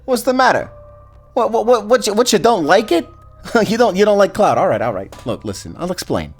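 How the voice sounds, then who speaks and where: A man's voice: high-pitched, big pitch swings, moderate pace, husky, faint music behind, full character voice. A man playing a cocky, teasing Grim Reaper in a fantasy audio roleplay, talking like a smug, slangy wise guy. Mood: playful, explaining.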